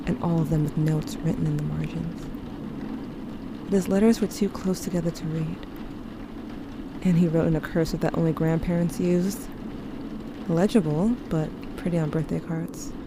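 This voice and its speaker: A woman's voice: deep voice, fairly even intonation, normal speed, long pauses, background noise. A woman playing a guilt-haunted teenage narrator in an audio drama, confessional, introspective and wry. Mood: wry, matter-of-fact. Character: guilt-haunted, guarded teenage narrator grieving a dying loved one.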